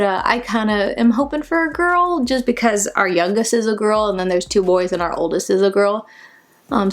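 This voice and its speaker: A woman in her thirties chatting casually while doing her makeup at home, sounding congested and rambling with soft half-whispered asides. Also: medium pitch, creaky. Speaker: beauty vlogger. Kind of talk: casual chat during a makeup tutorial. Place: shaded room at home.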